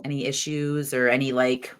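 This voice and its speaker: A woman hosts a podcast with a notably low voice. She talks in a chatty, enthusiastic way, her sentences full of fillers and restarts. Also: little pitch movement, moderate pace, clear, resonant tone. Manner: chatty, enthusiastic, uses "like" a lot. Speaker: podcast host. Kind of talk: casual interview chat.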